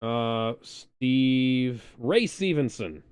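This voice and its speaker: A man with a smooth, clean voice at a middling pitch gives casual watch-along commentary. He rambles as he goes, and the sound is close and dry. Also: lively intonation, drawn-out words, dry recording. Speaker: show host.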